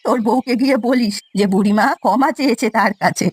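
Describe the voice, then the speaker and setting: Woman voicing a plaintive old village granny, high-pitched. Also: fast talker, darkish tone, breathy edge, no echo. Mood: remorseful, grateful. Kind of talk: Bengali cartoon story voice acting.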